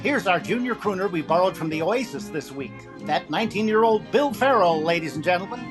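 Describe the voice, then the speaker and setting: A man's voice: high-pitched, expressive, fairly quick, over loud music. Man acting a wisecracking radio comedy host. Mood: flippant, wry. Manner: flippant one-liners. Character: wisecracking, heckling comic radio show host.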